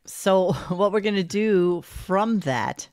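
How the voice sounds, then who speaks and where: A woman co-hosting a casual podcast, cueing up clips and reacting with quick remarks. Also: medium pitch, expressive, a little mellow, dry recording, clean studio sound. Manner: runs the segment, cues clips, quick short reactions. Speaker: podcast co-host.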